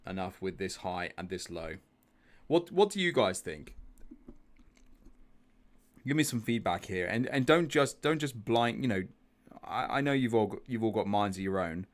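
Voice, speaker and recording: A man hosting a live trading stream, chatting through chart analysis, thinking aloud with restarts and asking viewers for their views. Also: on the low side. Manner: chatty, thinks aloud, repeats words, asks viewers questions. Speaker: trading group host.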